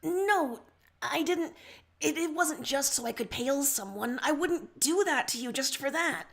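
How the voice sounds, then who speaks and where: A woman's voice: sits high, lively intonation, unhurried, gravelly, a bit bright, pitched above the performer's own voice, full character voice. A woman voicing a distressed, timid young male alien in an audiobook-style story, hesitant and pleading.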